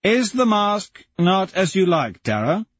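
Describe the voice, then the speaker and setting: A man's voice: high-pitched, sing-song, a little slow, a bit bright, dry recording, muffled recording, voice pushed up, heavily acted. A man voicing a sneering cruel young nobleman. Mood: mocking. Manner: mocking, cold, scornful.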